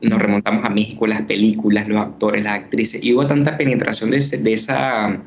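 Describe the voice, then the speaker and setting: A man's voice: on the low side, flat delivery, a little fast, lo-fi sound, a little hiss or hum. A man, a journalist and teacher, answering interview questions in an earnest, teacherly way with many fillers, heard over a video call. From Venezuela.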